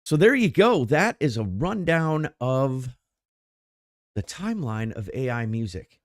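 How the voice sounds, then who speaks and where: Lively, animated middle-aged man. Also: medium pitch, measured pace, no echo, clean studio sound. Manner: rambling, animated, frequent restarts. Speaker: podcast co-host. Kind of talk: casual chat.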